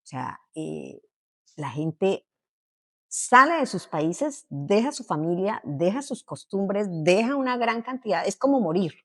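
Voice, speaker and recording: A woman's voice: mid-range voice, big pitch swings, a little slow. A woman interviewer asking long, reflective questions and giving short affirmations.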